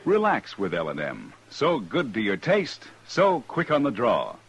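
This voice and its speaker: Husky, very animated ad man. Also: mid-range voice, unhurried, highs cut off, faint music behind. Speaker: commercial announcer. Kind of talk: 1950s radio ad pitch.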